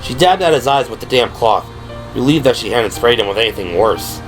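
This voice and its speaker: A man's voice: mid-range voice, expressive, fairly quick, rough recording. A man reading scripted third-person narration for an audio drama. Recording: music behind the voice.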